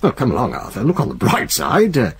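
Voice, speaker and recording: A hearty, commanding old-school firm boss in a radio play. His husky voice leaps around freely as he chuckles and barks orders. He is bluff and brisk throughout. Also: mid-range voice, huge pitch swings, full character voice. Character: jovial brisk older male firm head. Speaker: senior partner of a law firm. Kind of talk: radio drama acting.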